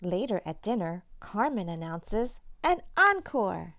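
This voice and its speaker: A woman's voice: mid-range voice, slow pace, no echo, studio quality. A woman, a children's author, reading a picture book aloud expressively, with chatty asides straight to young listeners. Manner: animated, addresses young listeners directly.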